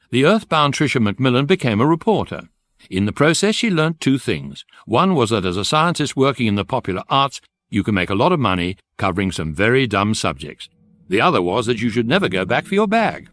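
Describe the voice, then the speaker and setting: An actor playing a knowing guidebook narrator, droll and dry in scripted comic radio storytelling. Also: male voice, medium pitch, fairly bright tone.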